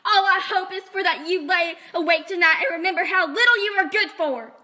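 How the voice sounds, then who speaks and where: A high, piercing voice from a woman acting a self-pitying, spiteful wife in an amateur film. She whines and snaps, bright and sharp in tone. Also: brisk. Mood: bitter outrage. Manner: whiny, snappish. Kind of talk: amateur scripted film dubbing.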